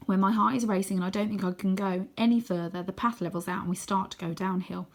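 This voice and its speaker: A woman narrating a children's adventure book in an expressive, dramatic way, sometimes hushed for suspense. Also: medium pitch, fast. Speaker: story reader.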